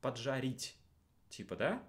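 A man in his thirties talks in a studio at a middling pitch. He thinks aloud in a casual, colloquial way, often questioning as he goes, like a teacher working through a task. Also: very animated, unhurried. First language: Russian. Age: 30s. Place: small indoor studio.

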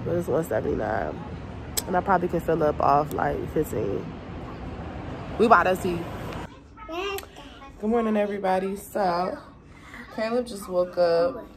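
A young woman with a Southern American accent, a mom chatting casually into a phone held at arm's length, in a relaxed, slangy way. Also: on the low side, moderate pace, long pauses, background noise. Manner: chatty, laid-back, slangy. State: stuffy nose. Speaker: vlogger, mom. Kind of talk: casual selfie vlog chat. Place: car at a gas station, then a home kitchen.

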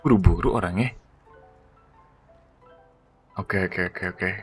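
A man's voice: sits low, takes it slowly, soft background music. A man chatting casually over gameplay, slangy and exclamatory, often repeating words. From Indonesia. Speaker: gaming YouTuber. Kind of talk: casual excited gameplay chat.

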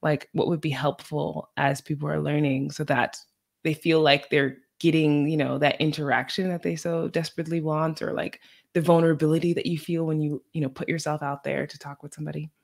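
A woman's voice: sits low, flat delivery, a little fast, creaky, a little mellow. A woman in her thirties hosting an interview over a video call from a room at home, talking in a chatty way with many fillers as she thinks aloud. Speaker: podcast host. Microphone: video call, computer mic.

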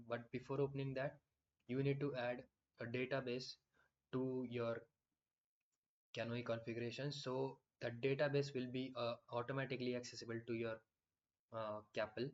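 A man explaining software in a screen-recorded tutorial, with frequent fillers and restarts. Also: mid-range voice, little pitch movement, normal speed, dull audio.

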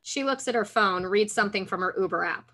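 A woman's voice: mid-range voice, brisk, bright, forceful tone. A woman in her thirties reading screenplay stage directions as scripted narration over a video call. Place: room at home, indoors. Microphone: computer mic on video call.

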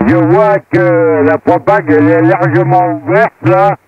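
Guy on ham radio, high pitched, takes his time, muffled dry sound, casual. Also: animated, unhurried, vocal fry, dry recording. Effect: two-way radio transmission. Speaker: amateur radio operator. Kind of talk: casual radio equipment report.

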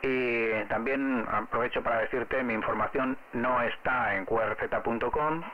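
A man's voice: mid-range voice, steady tone, a little slow. A man with a Spanish accent from Spain talking casually and explaining things as an amateur radio operator, spelling callsigns phonetically. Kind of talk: casual ham radio contact. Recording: narrow phone-like sound with faint radio noise.

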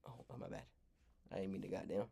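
A man's voice: deep voice, fairly even intonation, fast, gravelly, warm tone, breathy edge. A young American man playfully trolling strangers on video chat, often talking like a mock police dispatcher. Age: young adult. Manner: mock-official police dispatcher talk, exclaiming. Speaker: streamer, prank host.

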